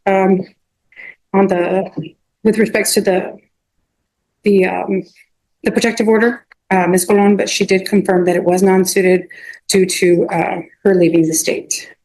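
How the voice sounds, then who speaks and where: Halting woman attorney addressing a judge. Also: mid-range voice, darkish tone, poor audio. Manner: halting, repetitive, restarting phrases often. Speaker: defense attorney. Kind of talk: addressing a judge in a court hearing. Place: courtroom.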